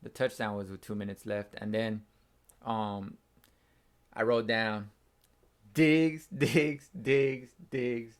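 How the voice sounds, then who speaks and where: A young man hosting a casual sports reaction, excitable and slangy, with a hoarse, nearly lost voice from screaming. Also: on the low side, slow, pauses often. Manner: excitable, slangy, says bro a lot. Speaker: fan channel host. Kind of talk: casual post-game reaction.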